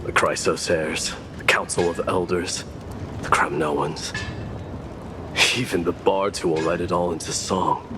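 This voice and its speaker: An actor playing a brooding, reluctant young warrior prince in a video game, speaking in a solemn, intense, measured way. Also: male voice, low-pitched, steady tone, over music. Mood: brooding, troubled. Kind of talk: dramatic video game voice acting.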